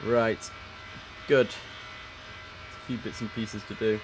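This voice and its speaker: Male game streamer, low voice, chatty between controller instructions, noticeable noise behind him. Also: takes it slowly. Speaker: streamer playing air traffic controller. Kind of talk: casual live game commentary mixed with radio instructions. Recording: steady background noise.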